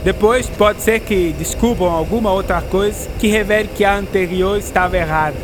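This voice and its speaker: An actor playing a proud, curious writer who loves science, theatrical and explanatory, in a radio drama. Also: male voice, high-pitched, moderate pace, background noise, lightly acted. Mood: earnest, explanatory. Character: Jules Verne, proud, curious writer enthusiastic about science. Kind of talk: educational radio drama acting. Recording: music behind a studio radio drama.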